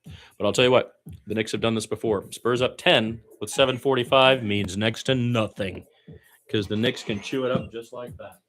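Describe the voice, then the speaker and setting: A man's voice: mid-range voice, steady tone, moderate pace, a bit bright, faint music behind. A man giving loose, conversational play-by-play basketball commentary in an informal, slangy way, as if thinking aloud. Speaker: amateur radio-style play-by-play commentator.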